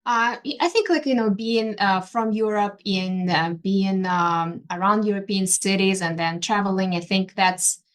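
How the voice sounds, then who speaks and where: A woman from Ukraine speaks in a relaxed interview. Her talk is full of hesitant fillers and restarts as she searches for words. The pitch sits in the middle range for a woman. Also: unhurried, few pauses. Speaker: interior designer and artist. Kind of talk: conversational interview.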